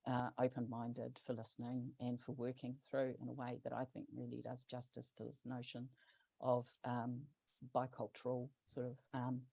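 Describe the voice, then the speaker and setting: A man presenting a project in an online webinar, talking haltingly with many fillers and restarts. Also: medium pitch, completely flat delivery, gravelly, dark tone, slightly rough, no room sound. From New Zealand. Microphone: video call. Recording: dull lo-fi call audio.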